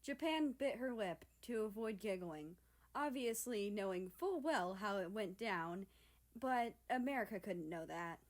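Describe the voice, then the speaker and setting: Casting: female story narrator, unhurried pace, mid-range pitch. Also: lively intonation. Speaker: story reader. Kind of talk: scripted fan fiction narration.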